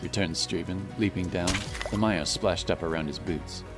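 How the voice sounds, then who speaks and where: Deep-voiced man narrating fantasy. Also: moderate pace. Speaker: audiobook narrator. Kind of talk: scripted narration of a fantasy story. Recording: loud music behind the voice.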